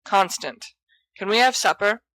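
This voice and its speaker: A woman's voice: medium pitch, a little slow, fairly bright tone, no echo, close to an everyday voice, low-quality recording. A woman voicing an awkward, lovestruck teenage boy with a fumbling, mumbling manner in an audiobook story. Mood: flustered.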